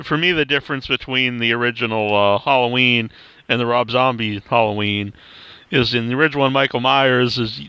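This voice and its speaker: A man's voice: medium pitch, sharp tone, clean tone, no echo, rough recording. A man hosting an interview in a casual, conversational way. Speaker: podcast co-host, interviewer.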